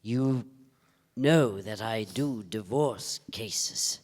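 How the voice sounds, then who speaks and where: Grave anguished guy in a play, really slow, deep voice, echoey theatre. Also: a little mellow. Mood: grave, urgent. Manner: grave, halting, emotionally pressing. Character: Joe, somber, anguished divorce investigator bearing bad news. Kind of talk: live staged play reading. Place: theatre stage before a live audience. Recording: live theatre stage, long hall reverb.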